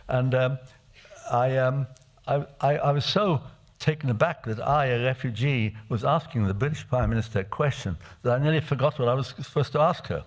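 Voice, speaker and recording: A man's voice: medium pitch, a little mellow. Elderly Czech-born male legislator, testimony speech in a chamber, mid-range voice, hesitant with restarts. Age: older. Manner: reflective, halting with many restarts. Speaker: parliamentarian. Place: assembly chamber.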